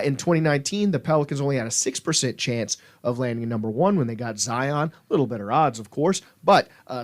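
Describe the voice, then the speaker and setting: A man hosting a casual podcast chat in an animated way, affirming others often and steering the talk. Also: medium pitch. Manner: animated, affirming with frequent "yes". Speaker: podcast host.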